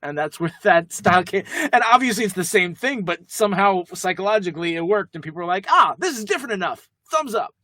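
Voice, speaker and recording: A young man co-hosting a podcast, talking quickly in a rambling, jokey way. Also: high voice, animated, bright, forceful tone, no echo. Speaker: podcast co-host. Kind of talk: casual chat.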